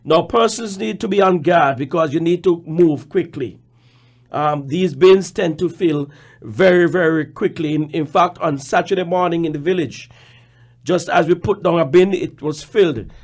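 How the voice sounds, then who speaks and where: Higher-pitched Caribbean man explaining on radio. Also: normal speed. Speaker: general manager and radio guest.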